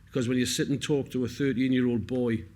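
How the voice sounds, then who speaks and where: A man's voice: medium pitch, fairly even intonation, quick pace, a little mellow. A man with a North East English accent, speaking earnestly and emphatically at a public Q&A, repeating words for weight. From north-east England. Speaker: film cast member. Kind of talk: heartfelt speech at a public Q&A. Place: on stage at a cinema Q&A.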